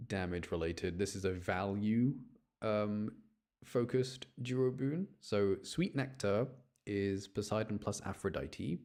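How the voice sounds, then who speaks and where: A man's voice: on the low side, darkish tone. A man giving casual, chatty live commentary as a game streamer, often explaining things and interrupting himself. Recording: music behind the voice. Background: music.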